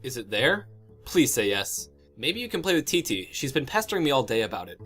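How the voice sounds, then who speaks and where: Man playing a cocky, deadpan teen boy, very lively pitch. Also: fairly quick, husky, soft background music. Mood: cool, teasing. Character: cool, cocky teenage boy, laid-back know-it-all. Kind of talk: acted fan dub of a webcomic.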